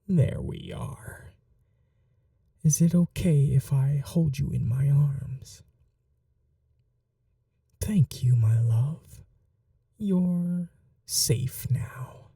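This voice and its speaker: A man playing a possessive, tender yet cruel ageless vampire lover in an intimate ASMR roleplay, soft and breathy, often slipping into whispers, slow and coaxing. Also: mid-range voice, no room sound, studio quality, far from the mic, full character voice.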